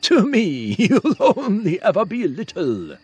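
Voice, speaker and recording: A man's voice: sits high, wildly swooping pitch, takes it slowly, husky, no room sound, voice pushed up, heavily acted. A man voicing a taunting, condescending older half-brother, a bully in a fantasy audiobook. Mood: mocking. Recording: music behind the voice.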